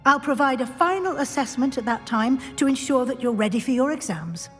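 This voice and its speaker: A woman playing a composed, gently stern school professor in a video game, speaking formally and with authority. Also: sits high, animated, fairly quick. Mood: composed, cautioning. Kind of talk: video game character acting. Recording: long hall reverb, faint music.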